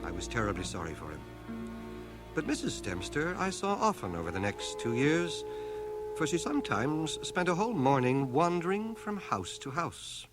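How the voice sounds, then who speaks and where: An actor playing a grave, reflective man who narrates his boyhood memories in a measured, literate, dramatic style. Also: a little high, big pitch swings. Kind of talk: scripted radio drama narration. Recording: old radio drama from cassette, music underneath.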